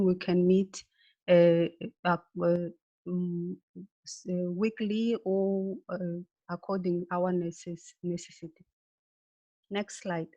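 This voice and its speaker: A woman's voice: on the low side, warm tone. Woman webinar presenter, hesitant English, dull call audio. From Mozambique. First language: Portuguese. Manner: halting, searching for words with many fillers.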